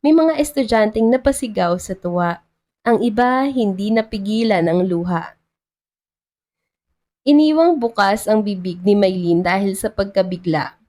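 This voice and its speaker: A woman's voice: mid-range voice, measured pace, mellow. A woman giving scripted, dramatic narration of a love story as the storyteller.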